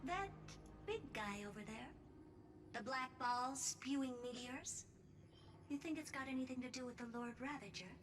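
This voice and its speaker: A woman as a high, haughty genius scientist. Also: moderate pace, background noise, close to an everyday voice. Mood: analytical, wry. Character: haughty, self-assured genius woman scientist, breezy and blunt. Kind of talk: game character dialogue. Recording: music behind the voice.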